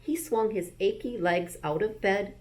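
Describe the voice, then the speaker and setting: Female storyteller, school-librarian type, mid-pitched, very slow and expressive read-aloud for small children.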